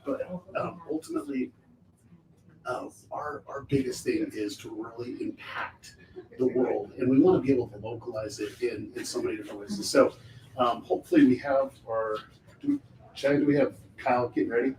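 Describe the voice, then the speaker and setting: A man hosting a casual livestream chat on a film set, excited and chatty, with a loud voice he tries to keep down. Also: mid-range voice, moderate pace, a crowd in the background. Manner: excited, chatty, keeps voice down for filming. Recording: echoey, distant mic with faint background noise.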